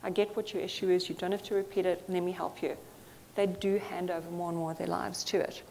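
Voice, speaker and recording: A woman's voice: fairly low pitch, flat delivery, fast talker, creaky, a little mellow. A woman from Zambia giving an earnest, thoughtful conference talk, with frequent fillers. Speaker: conference speaker. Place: conference hall. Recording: reverberant hall with faint noise.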